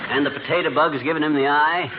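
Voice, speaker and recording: An actor playing a grumbling, excitable husband with a blustery, folksy, slangy manner in a 1940s radio comedy. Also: male voice, a little high. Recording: noisy, muffled old radio recording.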